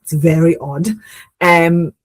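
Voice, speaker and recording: A woman's voice: fairly low pitch, fairly even intonation, takes it slowly. A woman with an Israeli accent who hosts a podcast, reflecting earnestly and supportively in conversation. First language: Hebrew. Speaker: podcast host. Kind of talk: interview conversation.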